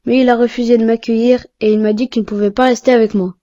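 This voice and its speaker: A woman's voice: fairly high pitch, little pitch movement, quick pace, warm tone, lo-fi sound, lightly acted. A woman voicing a polite, sensitive, pious boy in an educational cartoon, with an earnest, courteous delivery. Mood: hurt, indignant. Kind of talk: didactic cartoon dialogue.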